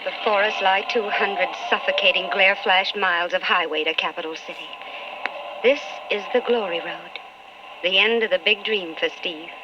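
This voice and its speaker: An actress playing an anxious, brooding politician's wife in a wistful, dramatic old-time radio style. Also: female voice, medium pitch, moderate pace, background noise, natural voice. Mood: foreboding. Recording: muffled old radio recording.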